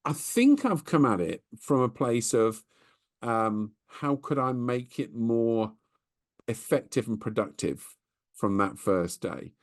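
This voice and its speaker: A man co-hosting a business podcast in relaxed chat, thinking aloud as he speaks. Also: medium pitch, slow pace. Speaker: podcast co-host.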